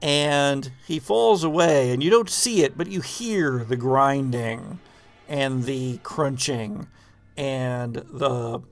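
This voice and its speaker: A man hosting a tabletop horror game as game master, improvising dramatic narration with halting pauses mid-sentence. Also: mid-range voice.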